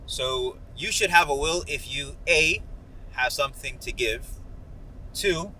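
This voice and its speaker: A man with a bright, forceful voice talks casually as he hosts a ride-along vlog. He explains things in a slangy, joking way, with some car noise around him. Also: medium pitch, lively intonation, slow pace, low-quality recording. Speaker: host of a ride-along video. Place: inside a moving car. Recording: clear car and outdoor noise. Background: car and road noise.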